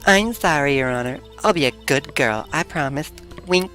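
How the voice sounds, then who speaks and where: Guy putting on a ditzy flirty lady witness, coy and sing-songy, higher voice, livelier. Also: animated, moderate pace, sharp tone, soft background music. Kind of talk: voicing game characters.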